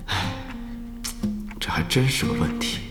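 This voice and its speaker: An actor playing an anxious online gamer in an audio drama, muttering in exasperation and pleading with others. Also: male voice, a little slow, loud music behind the voice, close to an everyday voice. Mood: exasperated.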